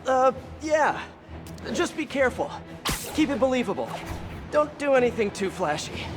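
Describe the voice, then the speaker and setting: An actor playing a young, quippy and earnest costumed superhero in a video game, with a wry, self-talking manner. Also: male voice, very high-pitched, big pitch swings, moderate pace, over music. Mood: cautious mentor. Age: young adult.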